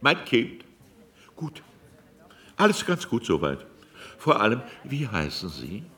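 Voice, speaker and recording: An actor in a German radio drama playing an imposing, commanding old magnate who talks pompously in halting, broken-off phrases. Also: male voice, mid-range voice, wildly swooping pitch, pushed and bright, full character voice. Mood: cajoling, imperious.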